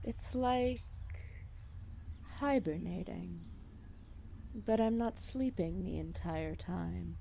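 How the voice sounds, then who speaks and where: A giant serpent deity, played by a woman, speaks with drowsy, regal amusement in an ASMR roleplay. The voice is dark and mellow with a creaky edge. The delivery is formal, condescending and drawn out, over faint background noise. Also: lowish voice. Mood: drowsy curiosity. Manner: slow, languid, formal, condescending speech. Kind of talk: ASMR roleplay audio drama.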